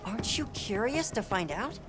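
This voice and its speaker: A woman playing an earnest, thoughtful young naturalist in a video game, explaining things in a measured way. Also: mid-range voice, very animated, background noise, close to an everyday voice. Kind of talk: video game character acting. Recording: game music behind dialogue.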